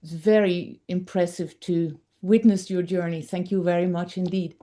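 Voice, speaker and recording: A woman speaking informally in measured, reflective short phrases, offering appreciation to someone on a video call. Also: on the low side, fairly even intonation, dark tone. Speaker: participant giving thanks at an online gathering.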